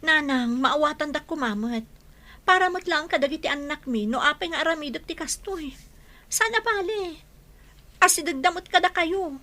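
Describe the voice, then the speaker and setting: A woman's voice: high voice, animated, moderate pace, bright, forceful tone. A woman playing a self-sacrificing wife and mother, earnest and imploring, in a radio drama.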